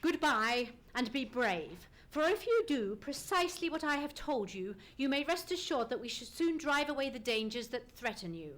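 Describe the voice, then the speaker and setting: An actor playing a commanding, precise gentleman detective in an old-time radio play, with formal, emphatic diction. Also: high voice, sing-song, normal speed, hardly any pauses. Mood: grave, assured. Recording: live stage radio play recording.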